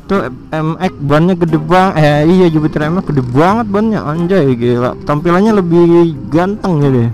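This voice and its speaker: A man's voice: fairly high pitch, moderate pace, hardly any pauses, darkish tone, faint music behind, poor audio. A young man chatting and joking to himself while riding a motorcycle through city traffic. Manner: chatty, joking, slangy, teasing other riders. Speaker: motovlogger. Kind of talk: casual riding commentary.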